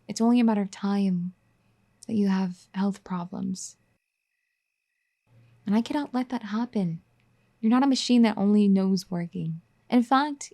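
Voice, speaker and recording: A woman's voice: mid-range voice, lightly acted. A woman playing a bossy, caring young wife. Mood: tender, pleading. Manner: bossy teasing scolding that turns gentle and pleading. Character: firm, caring young wife scolding an overworking spouse. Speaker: ASMR roleplay performer. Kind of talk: audio roleplay addressed to the listener.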